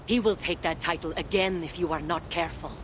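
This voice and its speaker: An actress playing a stern, wry goddess queen in a video game, speaking in a measured, dry and commanding way. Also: lowish voice, steady tone, a little hiss or hum, close to an everyday voice. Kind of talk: dramatic video game acting.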